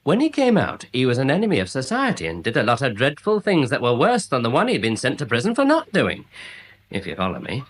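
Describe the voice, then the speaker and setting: An actor playing a pompous, long-winded small-town amateur theorist in a British radio drama, with an English accent and wordy, ponderous manner. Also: male voice, mid-range voice, wildly swooping pitch, fairly quick, slightly rough. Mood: earnest theorising. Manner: wordy, ponderous, self-important. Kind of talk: British radio comedy-mystery drama acting.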